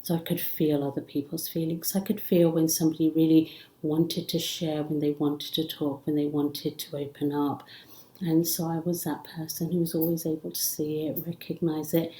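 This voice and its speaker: A woman's voice: sits low, mellow, echoey room, poor audio. A middle-aged woman, raised in India and England with English as a second language, speaking earnestly and reflectively as an interview guest. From born in Africa, raised in India and England. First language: not English. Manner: earnest, flowing, with frequent "you know". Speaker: podcast guest, psychologist.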